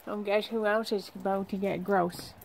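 A young woman in her twenties, talking in a relaxed, chatty way as she films herself outdoors at a barn. She holds the phone out at arm's length. A faint outdoor hiss sits behind her voice, with horses moving about nearby. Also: medium pitch, moderate pace, creaky, low-quality recording. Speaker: vlogger, horse trainer. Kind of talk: casual vlog chat and voice-over. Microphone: phone held at arm's length.

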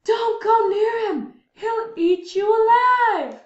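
A woman voicing panicked villagers in a storybook, crying out urgent, drawn-out warnings. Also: very high voice, voice pushed up. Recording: dull lo-fi, roomy echo.

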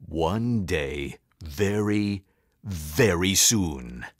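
A mean old school principal from a children's storybook, acted out by a man. He speaks very low and drags his words out slowly. He sounds sour and menacing, as if vowing revenge. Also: lively intonation, no echo, studio quality, heavily acted. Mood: menacing, vengeful.

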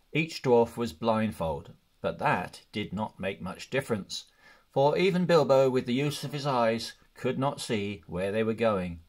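A man reads a story aloud as an audiobook narrator. He takes his time, with a very unhurried pace and deliberate phrasing, at a medium pitch. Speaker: audiobook reader.

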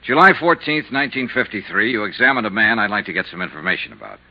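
Hard-boiled American insurance investigator, swinging intonation, probing, dry, old lo-fi radio drama. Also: male voice, fairly low pitch, very animated, quick pace. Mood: businesslike. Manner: clipped, probing, unruffled. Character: tough, persistent male insurance investigator, dry and businesslike. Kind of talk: 1950s radio detective acting and narration. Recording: muffled lo-fi 1950s radio recording.

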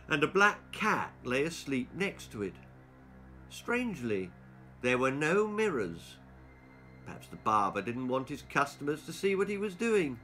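A man in his sixties reads a children's story theatrically. His pace is slow and deliberate, his intonation lively and his tone bright and crisp. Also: medium pitch. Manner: expressive, deliberate. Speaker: author reading his own stories. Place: small book-lined room indoors.

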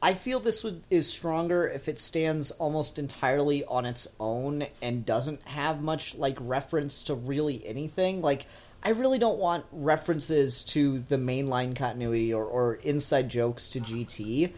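A man's voice: on the high side, normal speed, a little mellow. A man hosting a casual fan review discussion, talking in an enthusiastic, opinionated, analytical way. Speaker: podcast host.

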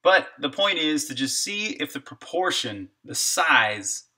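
A man's voice: fairly high pitch, sing-song, unhurried, bright, forceful tone, breathy edge. A man streaming his game development live, talking casually through his code and reacting to chat. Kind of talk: live coding stream, thinking aloud.

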